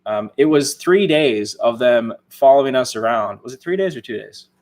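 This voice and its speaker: A man's voice: mid-range voice, moderate pace. Guy in his 30s hosting a live stream, easygoing, talks a lot, laughs easy. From United States. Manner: relaxed, chatty, laughs often. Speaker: channel host. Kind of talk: casual live stream chat.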